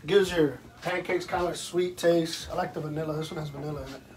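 A man's voice: on the high side, steady tone, quick pace, heavy background noise. Laid-back man, distant. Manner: laid-back, joking, swears casually. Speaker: host's husband. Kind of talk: casual banter. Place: home kitchen, indoors. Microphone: phone camera across the room. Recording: voice picked up from a distance.

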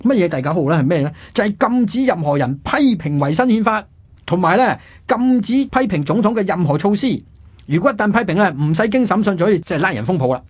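A man's voice: high-pitched, expressive, quick pace, breathy edge. A man with a Hong Kong Cantonese accent narrating history conversationally as a radio host, colloquial and explanatory, asking and answering his own questions. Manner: colloquial, explanatory, with exclamations and self-posed questions.

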